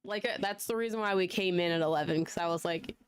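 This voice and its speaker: A woman's voice: lowish voice, fast talker, smooth, dry recording. A young woman who is losing her voice, chatting casually and laughing often as she hosts a live tarot reading stream. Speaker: tarot reader and livestream host. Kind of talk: casual livestream chat.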